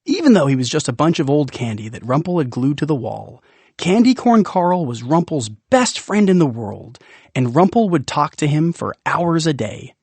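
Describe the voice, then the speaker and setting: A man narrating a children's audiobook in an expressive, playful way with dramatic pauses. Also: a little high, breathy edge, no room sound. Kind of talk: expressive scripted storybook narration.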